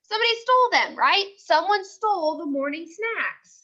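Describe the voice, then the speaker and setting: A woman teaching a class, asking questions and repeating questions and answers slowly for her pupils. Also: extremely high pitch, animated, sharp tone, muffled recording. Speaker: teacher leading a class read-aloud.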